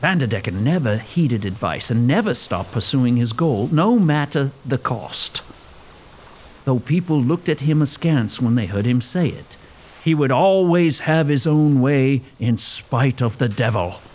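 A man's voice: mid-range voice, animated, unhurried, a little mellow, a little hiss or hum, natural voice. A man playing a storyteller who narrates a gothic sea legend in a dramatic scripted style. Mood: storytelling.

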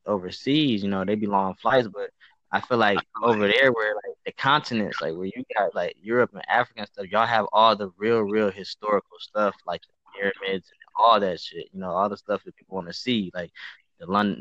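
Low-voiced man, rambling casual chat. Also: fairly bright tone, dry recording. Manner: loose, rambling, many fillers like "like" and "you know". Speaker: podcast co-host. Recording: muffled low-fidelity audio.

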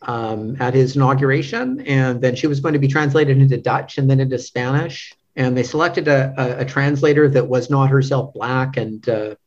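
A man's voice: medium pitch, fairly even intonation, moderate pace, few pauses, darkish tone. A man speaking as a podcast interview guest, casual and hesitant, groping for words and checking in with the listener. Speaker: podcast guest, literary translator. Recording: dull, band-limited lo-fi audio.

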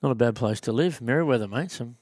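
Matey Australian podcast host. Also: male voice, medium pitch, quick pace, darkish tone, no echo, studio quality. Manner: matey, says mate constantly. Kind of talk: casual podcast chat.

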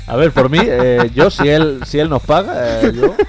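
A man's voice: on the high side, very animated, normal speed, creaky. A man from Spain with an Extremaduran accent, co-hosting a casual podcast chat and rambling with many restarts and fillers. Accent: Spanish, Extremaduran. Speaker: podcast co-host.

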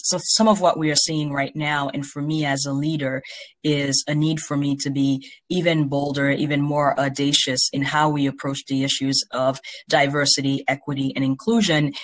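A university president from Haiti giving thoughtful interview answers in formal academic phrasing, with a measured, earnest manner. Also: mid-range voice.

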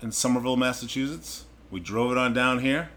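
A man's voice: mid-range voice, brisk, fairly bright tone, a little hiss or hum. A man co-hosting a podcast, bantering playfully in casual chat from a small room. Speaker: podcast co-host. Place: small warm room without air conditioning.

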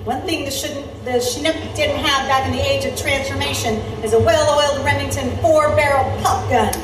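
A woman's voice: sits high, fairly even intonation, normal speed, lightly acted. A woman playing a bold, wisecracking reporter heroine in a period audio drama, sassy, defiant and dryly sarcastic. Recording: echoey, distant, with noisy ambience.

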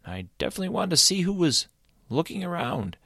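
A man's voice: big pitch swings, fairly quick, creaky, fairly bright tone, slightly rough, no echo, clean studio sound, full character voice. A man putting on a small, eager blue creature. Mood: friendly. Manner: high, eager, welcoming. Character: small kind blue-skinned male creature, friendly host.

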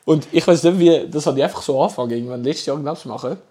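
A young man with a Swiss German accent, co-hosting a casual chat podcast, emphatic and quick to react and laugh. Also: fairly high pitch, dark tone, breathy edge. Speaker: podcast co-host.

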